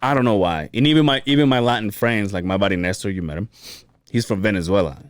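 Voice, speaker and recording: A man co-hosting a podcast in casual chat, telling stories in an animated way, swearing freely and laughing often. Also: lowish voice, fast, clean tone, no echo, clean studio sound. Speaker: podcast co-host.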